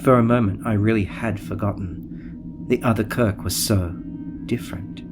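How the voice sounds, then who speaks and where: An actor playing a cold, calculating alien starship officer in an audio drama, speaking in a measured, formal, deadpan way. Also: male voice, lowish voice, music in the background, lightly acted. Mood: reflective, calculating. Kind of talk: audio drama narration and dialogue.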